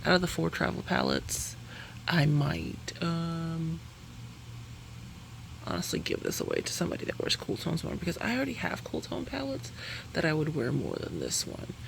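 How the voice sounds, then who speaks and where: A woman's voice: sits low, wildly swooping pitch, moderate pace, vocal fry, background noise. A woman chatting casually through a makeup review, rambling and correcting herself, laughing between sentences. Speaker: beauty vlogger.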